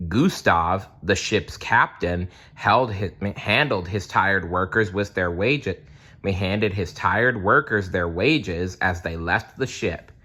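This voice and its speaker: A man reading a children's story aloud in a deliberate, storytelling manner. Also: low voice, bright, forceful tone, slightly rough.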